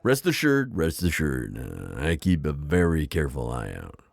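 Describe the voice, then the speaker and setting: A very deep-voiced, wise old vampire innkeeper, acted by a man for an immersive ASMR roleplay. He is friendly and reassuring, with a gravelly creak and lively swings in pitch as he chats to a guest. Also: moderate pace, dry recording, heavily acted. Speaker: roleplay audio performer.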